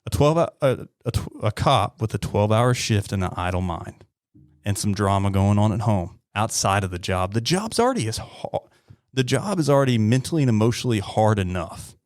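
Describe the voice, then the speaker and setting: A man in his thirties talking bluntly and earnestly on a podcast, often halting and repeating his words. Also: lowish voice, sing-song, darkish tone, no room sound, clean studio sound. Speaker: podcast host, police officer. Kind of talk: heartfelt interview talk.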